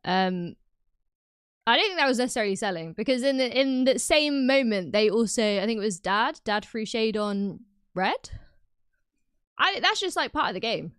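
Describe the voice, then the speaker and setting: A British woman livestreaming, chatting casually and reacting loudly to her chat. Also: a little high, normal speed, smooth, no room sound, studio quality. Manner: animated and exclamatory, reacting to chat. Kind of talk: casual live stream chat.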